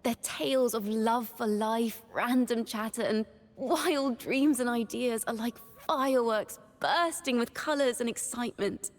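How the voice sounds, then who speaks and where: A woman voicing a young, horned wandering bard in a video game, a cheerful yet wistful poet who speaks in lyrical, expressive storytelling with a reverberant tail. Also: on the high side, unhurried, natural voice. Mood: cheerful, chatty. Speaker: game character voice. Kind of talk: scripted character monologue.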